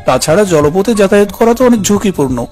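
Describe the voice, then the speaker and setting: Polite city gentleman male actor, fairly high voice, dramatic horror-story delivery over clear background music. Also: expressive, fast. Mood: polite, persuasive. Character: polite, inquisitive city man narrating his horror tale. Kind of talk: scripted horror narration and dialogue. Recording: loud music under the voice.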